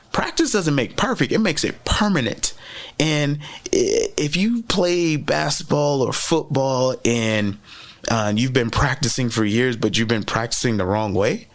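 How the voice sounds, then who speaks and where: A man whose first language is French gives a motivational teaching talk as a podcast host, chatty and repeating key phrases with frequent "right?" checks. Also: a little high.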